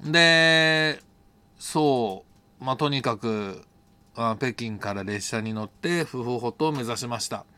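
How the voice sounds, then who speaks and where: A middle-aged man with a mid-range voice talks alone, as host of his own story show. He reflects as he narrates, hesitating, thinking out loud and amending his phrasing along the way. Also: mid-range voice, takes it slowly, clean tone. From Japan. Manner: hesitant, thinking aloud, self-correcting. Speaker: host telling personal stories on an audio show. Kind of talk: unscripted reflective storytelling monologue.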